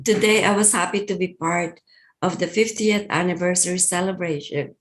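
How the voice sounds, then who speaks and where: Female board trustee, lowish voice, friendly and a bit hesitant in her report. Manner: friendly, slightly hesitant, with restarts. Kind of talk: giving a report in a meeting.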